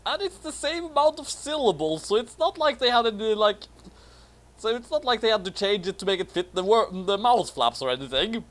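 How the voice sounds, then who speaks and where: A man's voice: sits high, big pitch swings, brisk, fairly bright tone. A man reading fan fiction aloud and commenting on it in a casual, comedic way.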